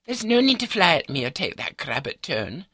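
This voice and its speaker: Elderly Scottish wife: high, lilting, prim and scatterbrained, audiobook character. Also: male voice, sing-song, moderate pace, bright, forceful tone, breathy edge, no echo, clean studio sound, exaggerated voice. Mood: flustered, fussing. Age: older. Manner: prattling, primly matter-of-fact.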